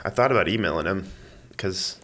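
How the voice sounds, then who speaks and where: A man chatting casually as a podcast guest, in a halting, rambling way as he thinks aloud. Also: sits low, fairly bright tone. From Missouri, United States. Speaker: podcast guest, amateur athlete and school IT worker. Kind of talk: casual interview chat.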